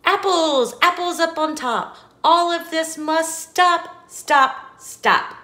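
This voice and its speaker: A woman voicing a cross, bossy bear in a picture book, giving loud, urgent orders. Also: extremely high pitch, slow pace, pitched above the performer's own voice, exaggerated voice. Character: cross, bossy bear chasing animals with a mop.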